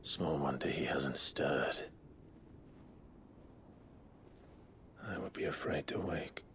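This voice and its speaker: An actor playing a brooding, troubled warrior with uncanny powers in a fantasy game, his voice hushed and breathy. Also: a bass voice, moderate pace, in a whisper. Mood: somber. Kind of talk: dramatic fantasy game cutscene acting. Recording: loud music behind the voice. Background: loud music.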